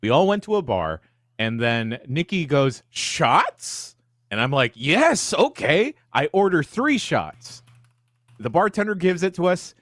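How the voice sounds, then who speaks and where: Animated man telling casual podcast stories. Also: fairly high pitch, measured pace, no room sound, clean studio sound. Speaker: podcast co-host. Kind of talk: casual storytelling and banter.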